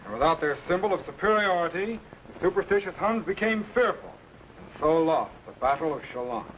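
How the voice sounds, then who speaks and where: Confident time-travel captain, bright voice, slightly high pitch, steady. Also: male voice, a little fast, slight background noise, rough recording. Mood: explaining. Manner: steady, authoritative, explaining. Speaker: lead character. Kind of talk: 1950s radio drama acting.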